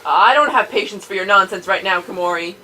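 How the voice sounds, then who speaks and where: A woman's voice: medium pitch, brisk, bright, forceful tone, rough recording. A woman voicing a tired, sarcastic male programmer with a weary, irritable manner. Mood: exasperated.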